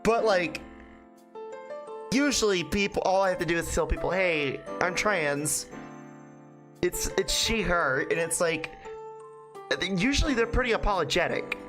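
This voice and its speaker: A woman's voice: on the low side, expressive, unhurried, long pauses. A woman streamer giving casual commentary during a game playthrough, speaking candidly and informally and swearing freely. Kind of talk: casual playthrough commentary, reading visual novel dialogue aloud. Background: music.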